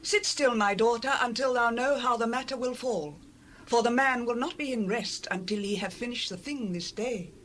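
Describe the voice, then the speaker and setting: An actress playing an older, guiding mother-in-law in a dramatized Bible reading, instructive and deliberate. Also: fairly high pitch, a bit bright, slight background noise, lightly acted, poor audio. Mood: calm, advising.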